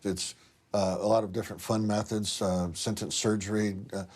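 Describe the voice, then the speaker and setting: A man's voice: fairly low pitch, flat delivery, normal speed, a little mellow. A man answering interview questions as a school superintendent, with blunt, folksy phrasing.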